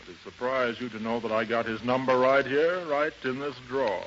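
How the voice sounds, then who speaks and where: A man plays a hard-boiled American henchman from a vintage radio play. His medium-pitched voice is pushy and full of tough slang. The old recording is dull, with faint noise around him. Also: fairly even intonation, moderate pace, a bit bright, close to an everyday voice. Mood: menacing. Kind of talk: 1930s radio drama acting.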